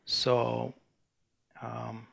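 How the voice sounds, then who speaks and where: A man from Bulgaria, giving a technical conference talk with a live demo and talking in a halting way, with many restarts and fillers. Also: mid-range voice, monotone, vocal fry, fairly bright tone. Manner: halting, frequent restarts and fillers while demoing. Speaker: conference speaker. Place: conference room before an audience.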